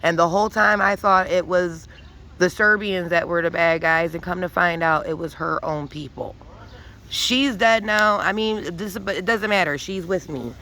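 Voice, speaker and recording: Gravelly woman, intense rambling monologue. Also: fairly low pitch, normal speed, sharp tone. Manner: rambling, profane, with many restarts; intense and preachy. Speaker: vlogger. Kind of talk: emphatic spiritual monologue.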